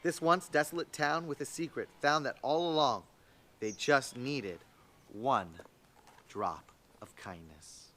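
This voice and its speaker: A man reading a children's storybook aloud in an expressive, emphatic way. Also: mid-range voice, takes it slowly. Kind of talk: scripted storybook read-aloud.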